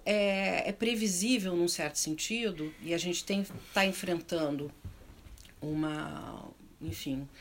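Halting, lower-pitched southern Brazilian woman. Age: middle-aged. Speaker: panel speaker on arts and culture. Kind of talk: unscripted panel discussion.

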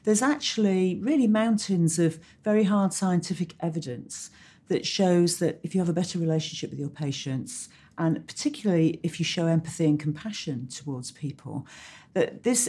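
Low, husky-voiced woman. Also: animated, moderate pace, warm tone. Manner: enthusiastic, uses tag questions. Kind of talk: conversational discussion.

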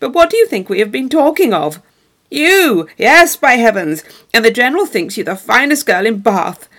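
A woman voicing a boastful young gentleman who brags and blusters. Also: fairly high pitch, big pitch swings, normal speed. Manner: bragging, blustering. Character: boastful young gentleman, loud and full of himself.

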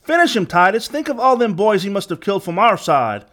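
A man's voice: high voice, sing-song, fairly quick, fairly bright tone, pitched above the performer's own voice. A man voicing young Confederate henchmen in a storybook, eagerly goading, with an American Southern accent. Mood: vengeful urging. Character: bloodthirsty young Confederate henchmen egging on their leader.

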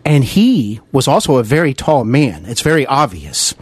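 An American man hosts a conversational interview with earnest admiration. His pitch sits mid-range and moves up and down freely, and the sound is close and dry. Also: sing-song, normal speed, husky, no room sound. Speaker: interview host. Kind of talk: conversational radio-style interview.

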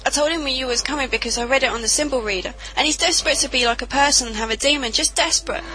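Woman playing a bright, fast-talking headstrong girl. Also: high voice, poor audio. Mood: anxious, confessing. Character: headstrong, impulsive young girl, defiant and urgent. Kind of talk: audio drama acting.

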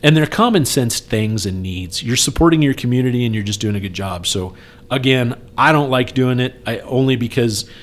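Male podcast speaker, mid pitch. Earnest, persuasive, conversational appeal with emphatic repetition. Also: expressive, moderate pace. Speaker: speaker appealing for campaign support. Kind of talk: casual podcast talk.

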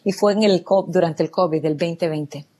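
Woman guest, low-pitched, earnest and grateful answers. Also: normal speed, warm tone. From El Salvador. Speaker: guest, real estate business owner. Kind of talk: interview answers.

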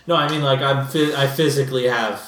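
A man at a tabletop game works through his character's moves aloud, tentative and hesitant. His pitch is middling, and his voice carries a roomy echo. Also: steady tone, a little fast, fairly bright tone. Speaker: player.

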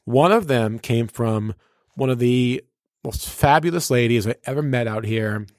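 A man, a radio personality, reminiscing casually and effusively, with emphatic, drawn-out phrasing and repetition. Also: mid-range voice, a little mellow, dry recording, clean studio sound.

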